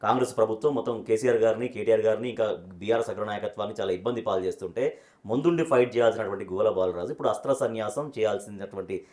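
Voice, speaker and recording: A man's voice: on the low side, very fast, darkish tone. A man working as a TV interviewer, putting probing political questions and rephrasing them as he goes.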